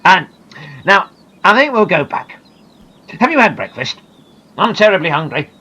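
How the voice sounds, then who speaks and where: A woman voicing a calm, kindly grown man who patiently explains and reassures a frightened girl, with soft music behind. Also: fairly low pitch, moderate pace, husky, pitched above the performer's own voice, full character voice. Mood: reassuring.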